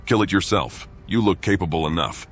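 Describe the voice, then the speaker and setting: Deep, grim fantasy game warrior. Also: male voice, brisk, breathy edge, soft background music. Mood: cold. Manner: terse, dry, unfazed. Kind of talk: video game character acting.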